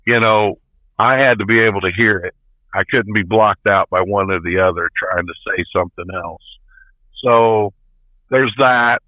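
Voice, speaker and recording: Man, 50s, folksy trucker storyteller, lowish voice, thin phone-like sound. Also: moderate pace, no room sound. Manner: plain-spoken, folksy. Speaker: truck driver, interview guest. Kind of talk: casual storytelling. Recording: tinny phone-like line.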